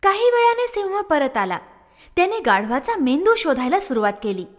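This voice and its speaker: Lady reading a kids story, high lively voice, long echo. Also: moderate pace. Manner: expressive, sing-song storytelling. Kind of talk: scripted children's story narration. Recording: long reverb.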